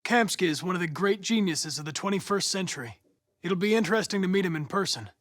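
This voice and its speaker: An actor playing a polite, earnest young male android police investigator in a video game, speaking in precise, formal, measured diction. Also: high voice, lively intonation, a bit bright, dry recording, clean studio sound. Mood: formal, serious. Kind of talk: scripted video game dialogue.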